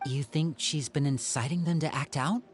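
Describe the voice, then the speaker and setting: A man playing a composed young brother and protective leader in a video game, speaking in a measured, serious way. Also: a little high, a little hiss or hum, lightly acted. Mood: concerned.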